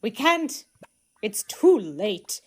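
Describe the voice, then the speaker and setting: Ancient Martian sage: grave, cryptic, drawn-out delivery with theatrical swoops, comic radio play. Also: female voice, fairly high pitch, big pitch swings, breathy edge, heavily acted. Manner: slow, dramatic, long pauses.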